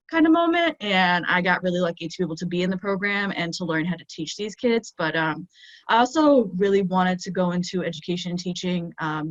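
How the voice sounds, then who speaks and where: A woman's voice: lowish voice, no echo. A woman graduate student on a panel, eagerly and casually explaining why she wants to teach. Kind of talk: personal account. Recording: dull lo-fi video call audio.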